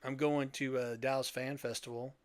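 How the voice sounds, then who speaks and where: A man's voice: medium pitch, little pitch movement, moderate pace, dry recording. A middle-aged man chatting casually as a podcast host, rambling and jokey. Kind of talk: casual chat.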